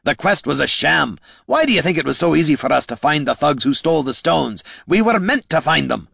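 A man voicing a gruff, blunt male warrior hero who talks rough and coarse. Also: fairly high pitch, sing-song, fairly quick, fairly bright tone, dry recording, clean studio sound, voice pushed up, exaggerated voice. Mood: angry.

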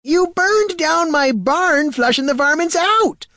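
A man voicing a gnarled, aggrieved old farmer with a high, strained rustic voice in an audiobook. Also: animated, takes it slowly, bright, forceful tone, no echo, studio quality, heavily acted. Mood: outraged. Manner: pleading, indignant outbursts. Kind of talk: audiobook character voice.

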